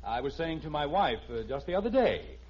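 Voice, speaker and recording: A man's voice: on the high side, sing-song, fairly quick, slightly rough. An American actor playing a flustered, sheepish middle-aged father who stammers and hesitates in a 1950s radio sitcom. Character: flustered, sheepish family father trying to explain himself. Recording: muffled old-time radio recording.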